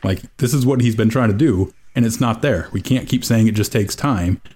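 Wry low-voiced man analyzing soccer. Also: moderate pace, mellow, slightly rough. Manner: wry, rambling analysis with fillers and laughs. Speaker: podcast co-host. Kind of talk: casual soccer podcast chat.

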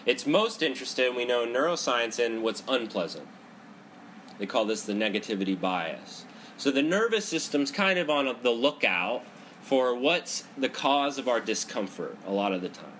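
A man of ordinary pitch, talking loudly through a head cold. He teaches a seated group about meditation in a relaxed, conversational way. He keeps checking in with "right?" and "you know.". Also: normal speed, slight background noise. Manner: loud talker. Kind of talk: Buddhist psychology talk to a group. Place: indoor room with a group, seated cross-legged.

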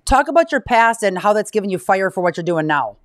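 A woman's voice: medium pitch, big pitch swings, quick pace, no room sound. Woman, podcast host, chatty, upbeat, dry sound. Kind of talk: interview podcast hosting.

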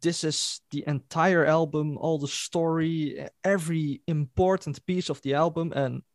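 A man's voice: fairly high pitch, fairly even intonation, measured pace, no room sound, clean studio sound. A Dutch-speaking man in a band, telling a story in a casual interview and laughing as he goes. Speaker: band member.

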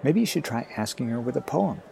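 A man's voice: mid-range voice, expressive, fairly quick, gravelly, dark tone. A man playing a wry, awkward ninth-grade boy with a grown-up mind, hesitant and thoughtful, in an audio drama. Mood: helpful, wry.

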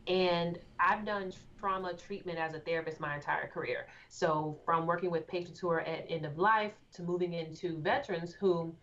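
A woman's voice: lowish voice, fairly even intonation, moderate pace, few pauses, fairly bright tone. Composed woman therapist, lo-fi sound. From Austin, Texas. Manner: articulate, composed, explanatory. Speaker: therapist and guest. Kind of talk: interview answers. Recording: dull lo-fi sound with faint noise.

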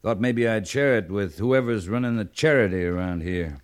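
An actor playing a wry, world-weary cavalry captain in a radio western, speaking in a dry, laconic, sardonic way. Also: male voice, on the low side, normal speed, smooth, close to an everyday voice. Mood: dry, probing. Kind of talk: 1950s radio western acting. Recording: noisy old radio recording.

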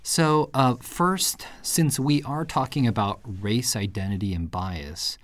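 A man's voice: mid-range voice, slow pace, a bit bright. A man born in Japan hosting a podcast, reading a scripted introduction and then asking questions with a few hesitations. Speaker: podcast host. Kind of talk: podcast interview.

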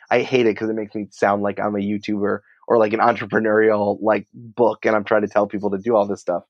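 A man's voice: lowish voice, darkish tone, no echo, poor audio. A man speaking as an interview guest in a casual, chatty, explanatory way, often dropping in "like". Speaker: interview guest, podcast company creative head.

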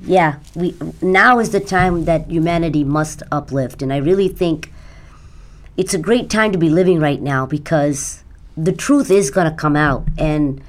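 A middle-aged woman from India, a native Gujarati speaker, answering earnestly as an interview guest in a conversational way. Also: sits low. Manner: earnest spiritual talk. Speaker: podcast guest.

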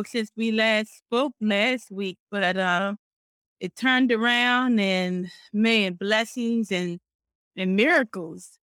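A middle-aged woman co-hosting a casual podcast, expressive and animated, speaking warmly about faith and community. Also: medium pitch, smooth, no room sound. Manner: warm, expressive, talks about faith and blessings; frequent fillers and restarts, animated storytelling. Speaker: podcast co-host.

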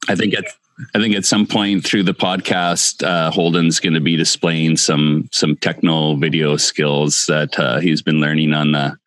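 A middle-aged man hosting a podcast in casual, halting speech that often repeats words. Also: rumbling low, level tone, a bit bright, dry recording. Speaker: podcast host, farmer.

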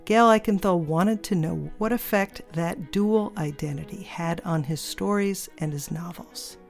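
A woman host reading a scripted narration in a measured, deliberate way. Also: on the low side, creaky, a little mellow, soft background music.

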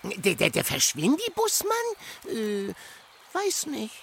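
A hesitant animal companion for a children's radio play. It drags its words out very slowly, stammers, and swoops widely in pitch over faint forest ambience. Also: female voice, huge pitch swings, gravelly, fairly bright tone, a little hiss or hum, full character voice. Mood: puzzled. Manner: drawn-out, stammering speech.

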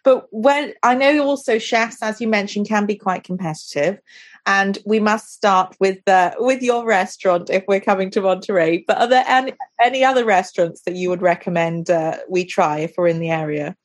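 A woman's voice: mid-range voice, normal speed. A woman hosting a casual podcast interview, asking friendly questions and often ending them with tag questions.

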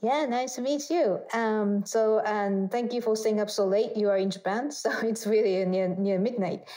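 A woman's voice: mid-range voice, normal speed, mellow. Woman hosting a food podcast. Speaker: host. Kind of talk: podcast interview.

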